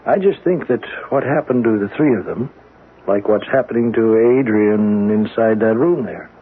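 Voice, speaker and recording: An actor playing a skeptical, matter-of-fact doctor who explains in a measured, slightly hesitant way in a 1970s radio drama. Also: male voice, on the low side, slightly rough. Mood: skeptical, firm. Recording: tinny, faintly noisy 1970s radio broadcast.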